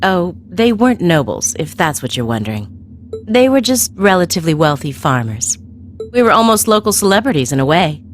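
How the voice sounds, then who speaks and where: Coy, teasing, low-voiced woman villain. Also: big pitch swings, a little fast, faint music behind. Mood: light, probing. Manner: coy, teasing, sing-song. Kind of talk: video game voice acting.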